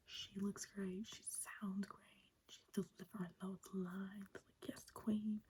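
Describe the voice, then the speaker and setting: A woman's voice: mid-range voice, warm tone, slight background noise. A young woman whispering a chatty, enthusiastic recap of a show in ASMR style. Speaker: wrestling recap host.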